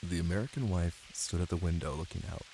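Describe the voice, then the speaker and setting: Man playing a deep, gravelly-voiced caring boyfriend, ASMR. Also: fast, dark tone, a little hiss or hum, poor audio. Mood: tender, calm. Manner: tender, teasing, close and cozy. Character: caring, playful young boyfriend reading to his partner. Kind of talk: intimate ASMR roleplay and bedtime story reading. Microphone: close microphone. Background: rain ambience.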